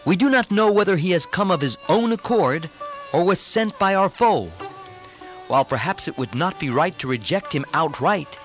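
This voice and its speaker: A man's voice: sits high, animated, normal speed, fairly bright tone, soft background music, pitched above the performer's own voice. A man voicing a cautious young monkey prince who gives wary, reasoned counsel in an epic story.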